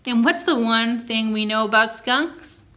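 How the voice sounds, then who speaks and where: Lady doing storytime for little kids, kinda high voice, really slow and clear read aloud, stops to ask questions. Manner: asks listeners questions, expressive teaching delivery. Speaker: children's librarian, story time host. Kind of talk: picture book read-aloud with explanations to young children.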